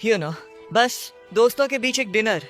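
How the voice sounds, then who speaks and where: Young male game hero: high voice, boyish, earnest, casually hesitant, music underneath. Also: expressive, a little slow, fairly bright tone, exaggerated voice. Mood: awkward, hopeful. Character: earnest young man, friendly secret vigilante hero. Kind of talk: Hindi-dubbed video game dialogue.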